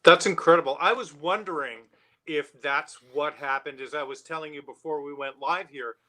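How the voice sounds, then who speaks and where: A man hosting a live interview show, speaking in an excited, effusive manner. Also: fairly high pitch, moderate pace, low-quality recording. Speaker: show host.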